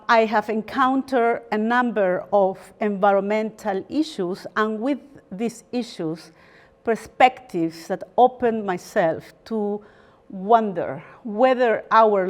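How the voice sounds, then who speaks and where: A woman from Peru, a barrister giving a formal university lecture in a measured, thoughtful way with frequent pauses. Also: medium pitch. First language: Spanish.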